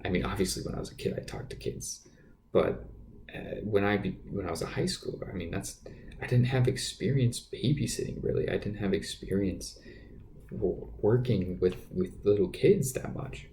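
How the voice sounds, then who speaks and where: Quiet guy podcasting solo, kinda low and mellow, halting delivery, bit of background noise. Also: rough recording. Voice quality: naturally quiet voice. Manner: hesitant, halting, often repeating words. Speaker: podcast host. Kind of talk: unscripted personal storytelling monologue.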